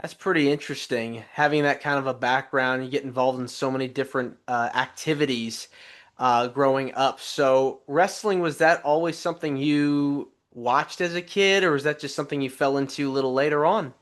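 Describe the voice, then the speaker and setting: A man's voice: medium pitch, steady tone, normal speed, fairly bright tone. A man hosting a podcast interview, upbeat and welcoming. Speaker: podcast host.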